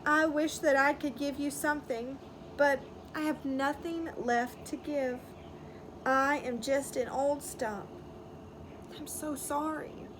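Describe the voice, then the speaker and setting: A woman voicing a gentle, giving old female tree in a storybook, speaking haltingly, soft and tender, sad but loving. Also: high voice, fairly even intonation, background noise. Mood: sad, apologetic.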